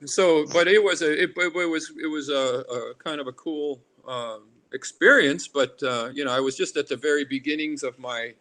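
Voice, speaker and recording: An older man from Alaska talking casually as an interviewed artist, in a halting, rambling way that restarts and drifts. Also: on the high side, a bit bright, poor audio. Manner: halting, rambling, with repeated starts and tangents. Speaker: artist and carver being interviewed. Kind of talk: casual reminiscing interview talk. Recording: noticeable background noise.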